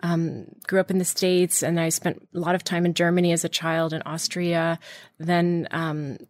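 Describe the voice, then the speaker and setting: A woman's voice: on the low side, level tone, moderate pace, a little mellow, no room sound, clean studio sound. A middle-aged woman from Iran, founder of a beauty brand, answering interview questions with eager enthusiasm and many restarts.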